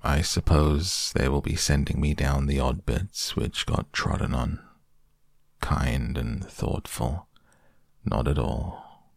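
A gloomy, resigned donkey from a storybook, acted out by a male narrator. The voice is bottomless and creaky, with a very slow, muttering delivery. Every phrase is doleful and drily sarcastic. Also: rumbling low, fairly even intonation, no echo, studio quality, exaggerated voice.

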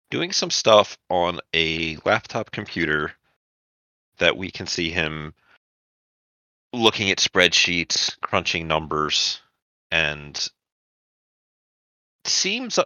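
A man's voice: deep voice, pushed and bright, dry recording. A man narrating a tabletop roleplaying game as the game master, setting scenes and calling for rolls.

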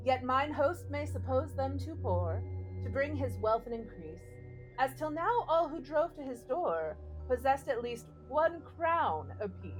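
A woman speaks as a podcast co-host with a high, very animated voice. She sounds playful and theatrical, and faint music sits behind her. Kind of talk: casual chat, dramatic poem reading.

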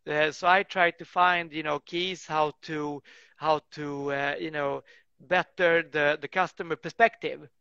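A man's voice: on the high side, steady tone, a little slow, gravelly, pushed and bright, no room sound, dull audio. A man speaking English as an in a casual interview, rambling with many restarts and fillers. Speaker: guest, tree work business owner.

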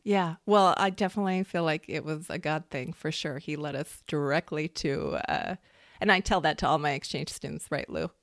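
A woman's voice: low voice, moderate pace, creaky, no echo, studio quality. A woman hosting a podcast, introducing and chatting casually in a gushing, exclamatory way. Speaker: podcast host. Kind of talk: warm-up introduction and casual chat.

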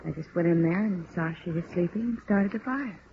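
An actress playing a resigned woman killer confessing in a halting, matter-of-fact way in a 1950s radio crime drama. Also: on the low side, close to an everyday voice. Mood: flat, resigned. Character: woman murderer flatly confessing, weary, resigned. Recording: muffled, noisy old radio recording.

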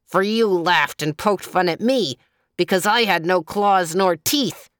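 A woman's voice: lowish voice, measured pace, clean tone, no room sound, studio quality, natural voice. A woman voicing a touchy, boastful male leopard with a snarling manner in a storybook tale. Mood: spiteful, goading. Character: vain, touchy male leopard, toothless but fierce.

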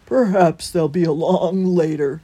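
A woman's voice: lowish voice, a little slow, warm tone, husky. A woman voicing a kindly, reassuring male hedgehog gatekeeper in a children's story. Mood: kindly, concerned.

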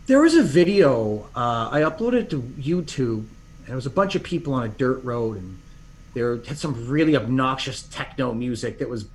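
A man's voice: medium pitch, lively intonation, moderate pace. A man in his thirties from Canada, telling stories in a casual, rambling, self-deprecating way, full of restarts and fillers. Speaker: podcast guest. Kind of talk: casual conversational storytelling.

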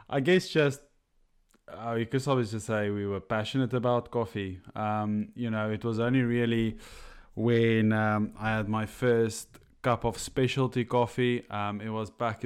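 A man's voice: fairly low pitch, darkish tone, clear, resonant tone. A man with a South African accent, a native Afrikaans speaker and coffee roaster, co-hosting a podcast in an enthusiastic, chatty way. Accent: South African English. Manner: enthusiastic, chatty, many fillers. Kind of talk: casual podcast talk.

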